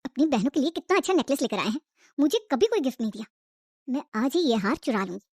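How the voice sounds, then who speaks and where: A woman voicing a greedy, scheming, sharp-tongued sister-in-law who scolds and complains in a cartoon story. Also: sits high, sing-song, fast, no echo, studio quality.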